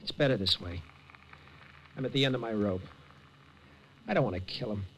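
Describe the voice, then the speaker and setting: A man's voice: on the low side, animated, normal speed, fairly bright tone. An actor playing a panicky young man at the end of his rope in an old radio drama, pleading. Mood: desperate. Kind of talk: 1950s radio drama acting. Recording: old radio broadcast, faint hiss.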